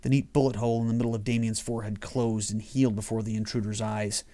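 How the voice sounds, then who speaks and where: A man's voice: on the low side, little pitch movement, fast talker, warm tone. A man reading his own horror short story as scripted audiobook narration.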